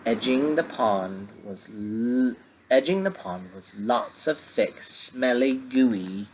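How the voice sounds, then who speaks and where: Low-voiced guy reading a kids' book, slow and gentle, little explainy asides, faint hum behind. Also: rough recording. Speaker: storyteller reading a picture book to children. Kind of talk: read-aloud story with asides.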